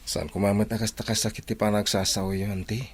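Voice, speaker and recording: Man acting a deep-voiced protective young suitor. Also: level tone, fast, a little hiss or hum, lightly acted. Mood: protesting. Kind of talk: Ilocano radio drama acting.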